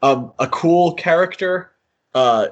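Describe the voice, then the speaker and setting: A man's voice: a little high, slow pace, muffled recording. A man co-hosting a podcast, talking casually and thinking aloud as he speculates, with hedges and asides. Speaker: podcast co-host.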